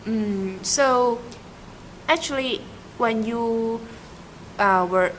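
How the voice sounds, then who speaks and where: A woman's voice: on the high side, extremely slow, a little hiss or hum, rough recording. Hesitant young woman asking questions. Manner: hesitant questions with fillers and restarts. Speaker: interviewer. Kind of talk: casual student interview.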